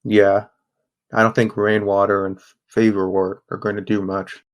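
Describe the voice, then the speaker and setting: A young man playing a guarded, sardonic teenage boy who narrates a grim audio drama in a subdued, even voice. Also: on the low side, moderate pace, natural voice. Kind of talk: first-person scripted narration, audio drama.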